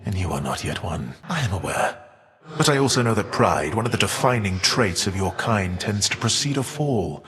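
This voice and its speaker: Guy doing a grave old-world titan for a game, low voice, weary but defiant, talks all formal and knowing, big echoing reverb. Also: expressive, crowd noise, lightly acted. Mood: solemn, foreboding. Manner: measured, archaic, formal, foreboding delivery. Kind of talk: theatrical video game character voice acting.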